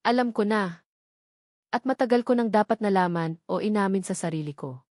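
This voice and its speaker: A woman voicing a remorseful young man who speaks softly, almost in a whisper, in a storybook reading. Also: mid-range voice, normal speed, no room sound, studio quality, close to an everyday voice. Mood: tender. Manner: soft, near-whispered.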